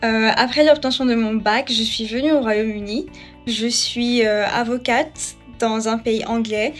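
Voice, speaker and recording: A woman's voice: fairly high pitch, fairly even intonation, unhurried, faint music behind. A young adult woman lawyer, talking earnestly with some hesitation.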